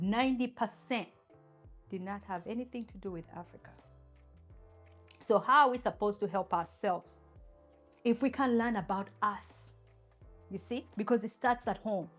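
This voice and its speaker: Woman asking rhetorical questions. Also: a little high. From Uganda. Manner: emphatic, full of rhetorical questions. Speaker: channel host. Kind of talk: opinion talk to camera.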